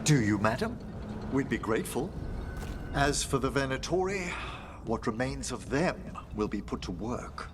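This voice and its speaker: A man's voice: medium pitch, very animated, moderate pace, background noise, natural voice. A man playing a middle-aged scholarly necromancer in a video game, formal, eloquent and polite, proud of his expertise. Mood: formal, composed.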